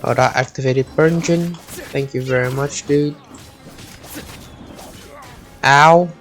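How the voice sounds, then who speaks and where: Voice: mid-range voice, steady tone, slow, faint music behind. A video game streamer giving casual gameplay commentary, thinking aloud and muttering with the odd swear word.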